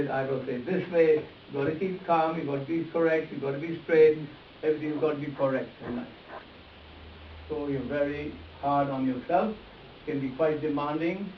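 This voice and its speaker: A man teaching face reading in a live demonstration, addressing volunteers directly and repeating his instructions as he goes. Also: on the high side. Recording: echoey, distant mic, dull sound with hiss.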